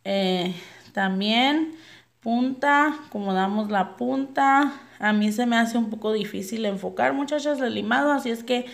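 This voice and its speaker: A woman's voice: a little high, a little slow, vocal fry. A young woman, not a native Spanish speaker, chatting through a nail tutorial at home and addressing her viewers as girls. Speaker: nail artist. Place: home nail table, dogs barking outside.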